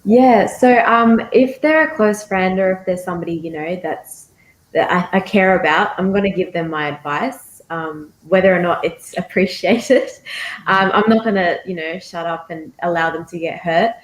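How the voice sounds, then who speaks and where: A woman's voice: on the low side, moderate pace. A woman guest on a panel who speaks frankly and easily, with some filler ums. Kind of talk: panel discussion. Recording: echoey room.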